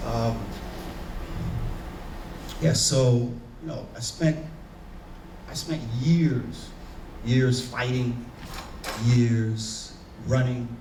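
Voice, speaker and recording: A man's voice: medium pitch, fairly even intonation, drawn-out words, long pauses, background noise. A man from Haiti with a Haitian accent, speaking as a panelist, earnest and searching, with many fillers and restarts. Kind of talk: reflective personal talk on a panel. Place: conference room. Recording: echoey room, voice from far off.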